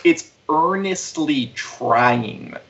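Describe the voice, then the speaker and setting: A man's voice: on the high side, lively intonation, drawn-out words, fairly bright tone. A man hosting a live entertainment show, chatting casually and giving opinions in a rambling way peppered with "like". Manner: rambling, heavy use of "like". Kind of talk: casual chat, opinion on pop culture. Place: remote video call livestream. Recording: dull lo-fi livestream call audio.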